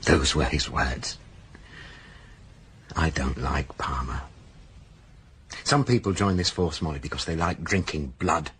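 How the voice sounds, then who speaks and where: A tired policeman full of self-doubt in a radio crime drama. His voice is extremely low, and he speaks in halting, brooding murmurs. There is a faint noisy ambience behind him. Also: lively intonation, fairly bright tone, exaggerated voice. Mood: brooding, weary. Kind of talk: naturalistic radio drama dialogue.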